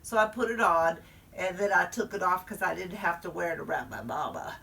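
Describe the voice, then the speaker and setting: A woman's voice: fairly low pitch, a little fast, creaky, pushed and bright, recorded from a distance. A woman storyteller voicing a chatty little first-grade girl who confides in her listeners. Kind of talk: performed storytelling.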